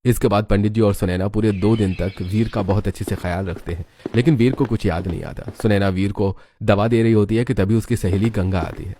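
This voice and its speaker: A man's voice: on the low side, fairly even intonation, fairly quick, hardly any pauses, warm tone, slight background noise. A man narrating a scripted moral story as its storyteller.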